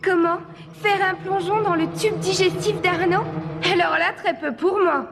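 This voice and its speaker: A woman's voice: extremely high pitch, fairly even intonation, normal speed. A woman voicing a reluctant, wisecracking young schoolboy in a children's cartoon, wry, exclamatory and given to complaint. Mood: wary, complaining. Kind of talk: dubbed children's cartoon acting.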